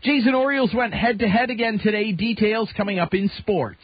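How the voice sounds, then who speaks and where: A man's voice: high-pitched, fairly quick, slightly rough, no room sound, muffled recording. A Canadian man reading a scripted newscast as a radio news anchor. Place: radio studio.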